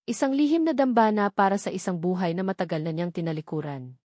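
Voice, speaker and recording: A woman's voice: mid-range voice, quick pace, darkish tone, dry recording. Filipino woman narrating, close dry sound. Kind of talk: dramatic scripted story narration.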